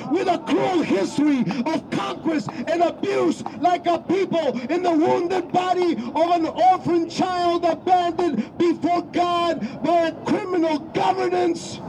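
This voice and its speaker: A man performs spoken-word poetry outdoors in a city, his voice pitched unusually high for a man. He declaims in bursts, often shouting, and sweeps between loud and soft, fast and slow. Steady traffic noise runs behind him. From Ecuador. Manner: declamatory, often shouting, dramatic swings in loudness and pace.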